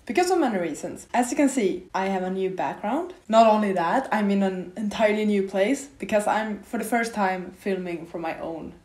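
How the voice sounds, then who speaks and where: A young woman in her twenties chatting casually to camera about books, her voice a bit raspy from a slight cold, rambling with lots of fillers. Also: mid-range voice, expressive, normal speed, few pauses, a little mellow. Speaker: book vlogger. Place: bare, half-furnished apartment room. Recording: echoey empty room.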